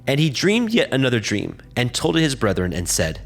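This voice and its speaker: A man narrating scripture as a scripted reading, in a measured, solemn storytelling manner. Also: medium pitch, wildly swooping pitch, vocal fry.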